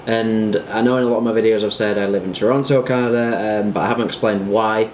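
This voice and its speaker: A man's voice: fairly low pitch, steady tone, fast talker, a little hiss or hum. A British man in his twenties, chatting casually to the camera in a rambling vlog style with plenty of fillers. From United Kingdom. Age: 20s. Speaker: vlogger.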